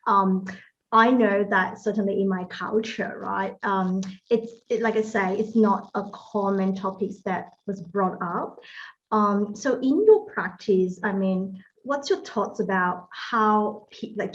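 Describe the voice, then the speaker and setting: A woman's voice: mid-range voice, a little slow. A woman from Malaysia hosts a podcast interview, asking questions in a hesitant, searching way. Her recording sounds a little dull and muffled. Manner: warm affirming backchannels, hesitant questions. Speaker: podcast host, fertility dietitian.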